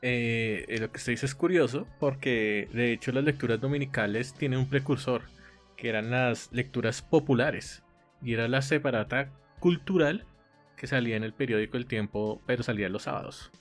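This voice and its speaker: A man talks in a relaxed, conversational way on a podcast, stopping often to gather his thoughts. Soft music sits faintly under his voice. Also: mid-range voice, moderate pace. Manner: conversational, hesitant with many fillers. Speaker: podcast co-host. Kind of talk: casual podcast discussion.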